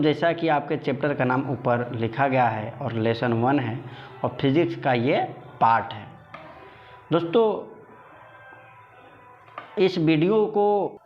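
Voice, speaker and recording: A man teaching an online exam coaching lesson, explaining to students emphatically and repeating phrases for stress, on a dull lo-fi recording. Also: on the high side.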